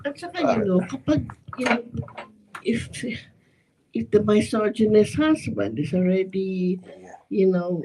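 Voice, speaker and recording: A woman speaks with animated emphasis, leaning hard on key words. Her pitch sits mid-range. She moderates the discussion and hands the floor to others. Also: measured pace, long pauses, a little hiss or hum, poor audio.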